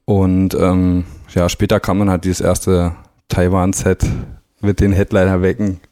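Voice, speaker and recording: Young man, deep and warm, casual radio guest telling stories with easy laughter. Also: a little slow, no room sound, studio quality. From East Berlin, grew up in Brandenburg. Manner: relaxed, laughs often, anecdotal. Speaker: drummer, interview guest.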